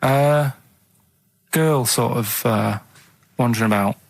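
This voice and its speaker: A man with a Northern English accent telling casual, rambling anecdotes in a deadpan, matter-of-fact and slightly defensive way. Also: mid-range voice, slow pace, gravelly, no room sound, studio quality. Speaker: radio show sidekick telling anecdotes.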